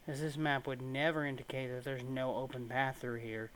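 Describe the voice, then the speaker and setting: A man's voice: medium pitch, flat delivery, moderate pace, a bit bright, a little hiss or hum, low-quality recording. A man giving casual, sarcastic let's play commentary, grumbling impatiently as he plays a video game. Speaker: gaming video creator playing and commenting.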